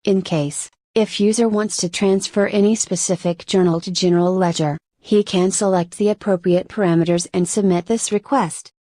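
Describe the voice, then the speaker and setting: A woman narrates a software tutorial from a script. Her pitch sits in the middle for a woman, and she explains in measured steps. The recording is close and dry, with little room sound. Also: no echo.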